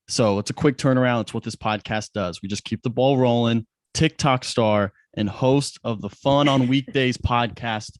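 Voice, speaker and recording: A man's voice: lowish voice, no room sound, studio quality. Chatty young man hosting a podcast. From Indiana. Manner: chatty, self-deprecating, many restarts. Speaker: podcast host. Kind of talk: casual interview chat.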